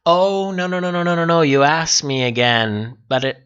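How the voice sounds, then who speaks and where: A man's voice: fairly high pitch, animated, moderate pace, a bit bright, smooth, dull audio. A man hosting a casual livestream, answering viewer comments in a rambling way and repeating phrases as he thinks aloud. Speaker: livestream host.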